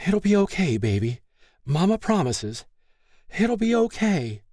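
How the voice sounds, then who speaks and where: A man's voice: high voice, very animated, unhurried, dark tone, no echo, studio quality, voice pushed up, heavily acted. A man voicing a frightened, battered mother in an audiobook, pleading, anxious and protective. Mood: soothing. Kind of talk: audiobook character acting.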